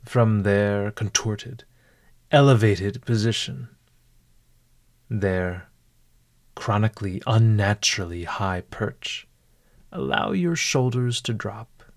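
A man narrating a scripted guided meditation in a formal, measured and eloquent manner. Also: fairly low pitch, animated, no echo, studio quality.

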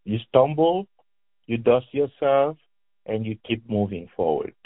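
A man from Cameroon with a Cameroonian accent, a guest talking and reading aloud in deliberate, halting phrases. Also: mid-range voice, expressive. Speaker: guest reader, educator and engineer. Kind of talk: phone interview with reading aloud from a book. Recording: echoey, tinny phone-like line.

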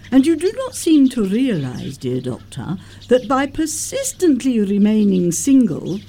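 A woman's voice: fairly high pitch, dark tone, slight background noise, heavily acted. A woman playing a prim middle-aged governess, pompous, moralizing and coyly flirtatious, in a theatrical radio comedy of manners. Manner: pompous, precise, emphatic.

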